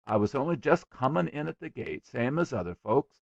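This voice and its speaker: A dogged little storybook schoolboy, voiced by a male narrator, full of self-importance. He talks in earnest, colloquial bursts with a swooping pitch, close and dry. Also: animated, normal speed, creaky, no echo, highs cut off. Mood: meek, indignant. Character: stubborn, self-important young schoolboy with excuses.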